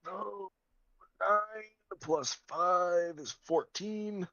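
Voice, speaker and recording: A man's voice: sits high, extremely slow, bright, forceful tone, no echo. A man talking casually as a player in a tabletop game, recorded on a lo-fi microphone. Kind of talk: casual chat during a game. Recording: dull, dry lo-fi microphone.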